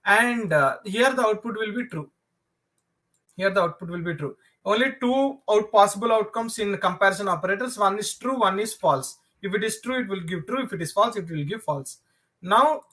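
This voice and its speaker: Male coding tutor, South Indian accent, native Telugu speaker, high sharp voice, informal, repetitive live-class delivery. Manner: repetitive, halting explanations that circle back to restate points. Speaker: programming instructor. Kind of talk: informal live online lesson.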